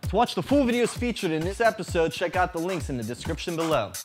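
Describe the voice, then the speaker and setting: A man's voice: high voice, fast. Hyped young-sounding male host. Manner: energetic, hyped. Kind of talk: upbeat presenting. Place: event venue. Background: music.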